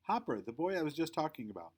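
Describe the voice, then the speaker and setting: Storybook role: curious, earnest little schoolgirl, performed by a man, questioning, with lively pitch movement. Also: quick pace, husky. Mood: earnest, defensive. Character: eager, curious young third-grade girl narrator.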